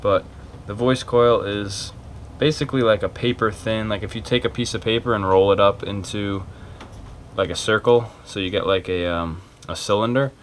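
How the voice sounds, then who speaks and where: A man's voice: on the low side, fairly even intonation, moderate pace, slight background noise. A man talking casually and a little hesitantly through a hands-on repair tutorial, unscripted and informal. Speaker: video maker giving a repair tutorial. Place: small cluttered carpeted room indoors.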